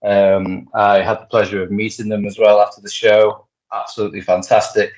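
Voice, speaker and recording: A man with a lowish, fairly flat voice chats loosely through wrestling news, full of ums and restarts. The audio is somewhat dull and lo-fi, like a home podcast setup. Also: normal speed. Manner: rambling, lots of fillers and restarts. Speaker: podcast co-host. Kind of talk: casual wrestling news chat.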